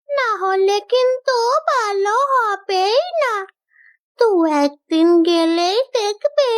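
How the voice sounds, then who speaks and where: A woman playing a blunt village healer in a cartoon. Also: very high-pitched. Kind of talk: cartoon voice acting.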